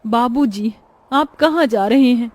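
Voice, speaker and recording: A loving young village daughter in a cartoon story, voiced by a woman. She speaks earnestly and with devotion, in a fairly high voice. The recording is dry and close. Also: slow pace, a little mellow, no room sound. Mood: worried. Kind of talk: dubbed cartoon drama.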